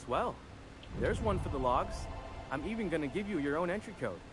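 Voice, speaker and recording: A man's voice: on the high side, expressive, a little fast, over music, close to an everyday voice, rough recording. An actor playing an eager, folksy young male town greeter who chats freely and is keen to please. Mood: friendly. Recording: game audio with background ambience noise.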